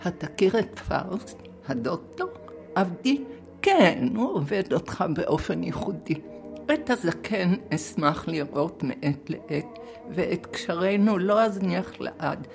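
A woman's voice: fairly low pitch, animated, rapid-fire, creaky, darkish tone, soft background music. An older woman, a retired professor, reading and speaking about literary translation.

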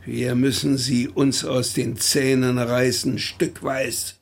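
A man's voice: mid-range voice, level tone, slow pace, gravelly. A man playing a sardonic, defiant working-class veteran in a declamatory radio drama monologue. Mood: fierce conviction. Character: embittered, defiant working-class veteran who knows hard life.

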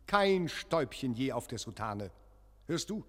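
An earnest, troubled preacher with a medium-pitched voice. He broods solemnly in short, insistent phrases, a radio drama figure heard in long church reverb. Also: big pitch swings, unhurried. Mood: brooding, anguished. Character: troubled, earnest Protestant clergyman visiting a foreign church. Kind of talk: German radio play acting.

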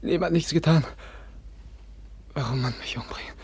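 An actor playing a frightened, gravely wounded, childlike young man in a German radio play, gasping out broken fragments. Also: fairly high pitch, moderate pace, darkish tone, no room sound. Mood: terrified, pleading. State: weak, delirious, gasping.

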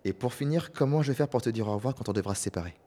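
Guy as a wry rebel fighter, talks quick, mid pitch, rambles, audio drama. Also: warm tone, close to an everyday voice. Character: Akion, wry, worried resistance fighter.

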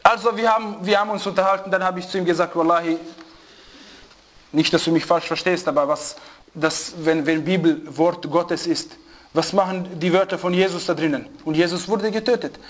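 A man's voice: high-pitched, brisk. A man preaching to a small group in an informal sermon, telling an anecdote and mixing in Arabic phrases. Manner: storytelling, lively, mixes in Arabic phrases. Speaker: preacher giving a religious lesson. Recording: dull lo-fi recording.

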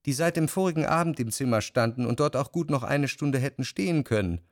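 An actor playing a jealous, introspective lover who narrates in the first person in a measured, deliberate way, in a literary radio drama. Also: male voice, medium pitch, animated, dry recording, studio quality, natural voice. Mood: wry, reflective. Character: jealous, brooding male lover recounting his obsessions. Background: music.

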